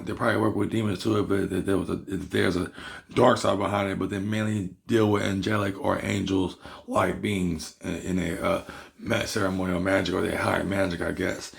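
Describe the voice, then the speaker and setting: A man from Barbados with a Bajan accent, hoarse from losing his voice, explaining a topic in a rambling, repetitive casual monologue as a video host. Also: sits low, level tone, brisk. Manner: rambling, repeats himself and restarts words. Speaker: hoodoo practitioner and video host.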